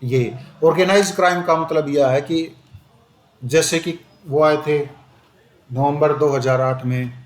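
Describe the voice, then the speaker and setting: A male teacher giving a conversational exam-prep lecture, switching between Hindi and English and repeating "okay" as a filler. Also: a little high. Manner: conversational, repeats "ठीक है" often, switches into slow English phrases. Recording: echoey room with faint ambient noise.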